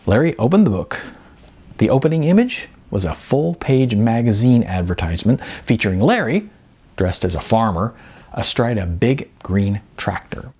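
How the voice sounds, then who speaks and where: Creaky, animated male story narrator. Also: medium pitch, normal speed. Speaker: audiobook narrator. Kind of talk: scripted fiction narration, reading dialogue in character.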